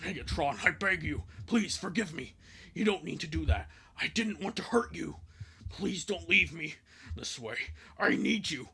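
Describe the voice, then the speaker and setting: A man voicing a pleading, career-driven rabbit cop heroine in a melodramatic comic fan dub, with a raspy, strained voice. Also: a little high, animated, moderate pace, bright, forceful tone, slight background noise, full character voice. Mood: desperate pleading.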